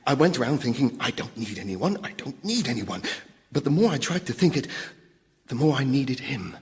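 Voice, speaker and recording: Radio sitcom demon: apologetic, lovesick torturer, stammering confidences, mid-pitched, set in long echoing reverb. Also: male voice, big pitch swings, moderate pace.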